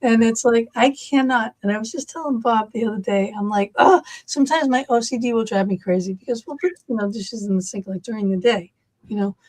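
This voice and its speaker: A woman's voice: on the high side, fairly quick, darkish tone, low-quality recording. A middle-aged woman from Massachusetts with a Massachusetts accent, chatting casually and animatedly, rambling with many fillers. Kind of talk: casual chat.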